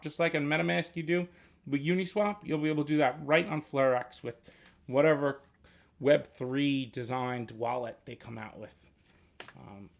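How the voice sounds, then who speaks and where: A man's voice: on the high side, gravelly. A man hosting a casual livestream, reading viewer questions and explaining at length. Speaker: livestream host.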